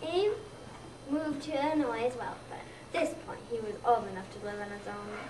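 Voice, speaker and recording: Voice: medium pitch, animated, normal speed. A young child of about seven or eight, a second grader, reading a scripted narration aloud for readers theater. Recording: echoey room, distant mic, noisy background.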